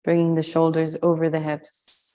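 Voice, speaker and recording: A woman leading a guided meditation, giving slow, soothing instructions with long pauses. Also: sits low, completely flat delivery, dark tone. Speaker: meditation and yoga teacher.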